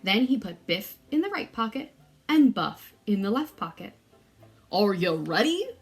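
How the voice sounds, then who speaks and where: A woman reading a children's story aloud expressively, with deliberate, dramatic emphasis for young listeners. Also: a little high, big pitch swings, slightly rough.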